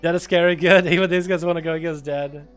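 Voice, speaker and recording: A man's voice: fairly high pitch, quick pace, clean tone, soft background music. A man with an American accent, a Russian speaker, hosting a livestream of game duels and chatting with viewers. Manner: excitable play-by-play, shouts at big moments. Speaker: streamer casting game duels. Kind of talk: livestream commentary and chat.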